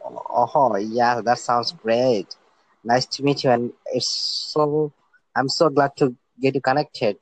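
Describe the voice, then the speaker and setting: A young man from Bangladesh talks as a guest over a remote call, pausing often with fillers and restarting his sentences. His voice sits at a middling pitch and sounds dry and close, with no room around it. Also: fairly even intonation, dry recording, poor audio. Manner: hesitant, many fillers and restarts. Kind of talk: casual interview talk.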